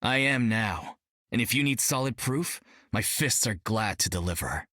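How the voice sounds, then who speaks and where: A man's voice: fairly low pitch, animated, normal speed, bright, forceful tone, no room sound, clean studio sound. A young actor playing a brash, cocky male martial artist in a video game, joking and upbeat. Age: young adult.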